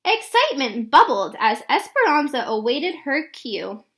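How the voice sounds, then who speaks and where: High-pitched woman reading a children's story. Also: very animated, measured pace, fairly bright tone. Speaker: read-aloud narrator. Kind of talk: expressive children's picture book read-aloud.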